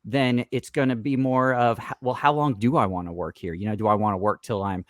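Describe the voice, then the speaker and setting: A man's voice: medium pitch, steady tone, a little fast, no room sound. Male podcast guest, conversational business advisor, dry recording, talks through hypothetical questions.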